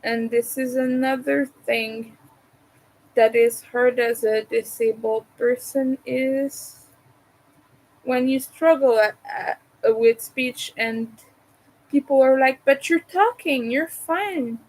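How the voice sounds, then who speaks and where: A woman's voice: high-pitched, slow, a little mellow, poor audio. A woman who is not a native English speaker, chatting casually while she crochets, hesitating and restarting words. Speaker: crochet vlogger.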